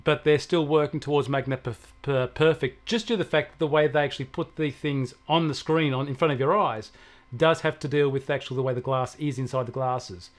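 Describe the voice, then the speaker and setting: A man's voice: mid-range voice, steady tone, brisk. An Australian man explaining technology in a conversational way, using everyday examples. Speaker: technology expert guest answering questions.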